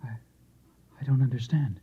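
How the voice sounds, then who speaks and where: A sincere, bewildered husband who carries a Martian mind. His voice is husky and mid-pitched, in earnest vintage radio acting. The old recording is somewhat dull. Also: normal speed. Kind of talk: 1950s radio drama acting.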